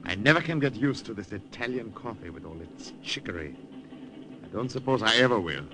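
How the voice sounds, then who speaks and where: A man's voice: on the low side, very animated, a little fast. A man with a German accent voicing a genial, probing German field marshal, acted in 1940s radio style. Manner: genial yet interrogating, courtly. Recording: hissy old radio broadcast.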